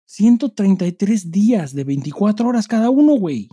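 A man's voice: sits high, big pitch swings, brisk, warm tone, husky, dry recording, studio quality. A Mexican man co-hosting a podcast in casual chat, swearing freely and speaking with emphasis in heavy slang. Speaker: podcast co-host.

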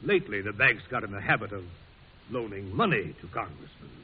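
An actor playing a courteous, wry soldier president in an old-time radio drama, speaking in a measured, folksy way. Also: male voice, on the low side, animated, a little hiss or hum, close to an everyday voice. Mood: earnest. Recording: muffled, rough old radio recording.

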